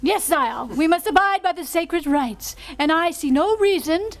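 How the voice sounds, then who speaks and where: A woman in a live amateur radio play, acting a solemn, scheming male high priest who speaks in a formal, ceremonious way. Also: expressive, measured pace, full character voice.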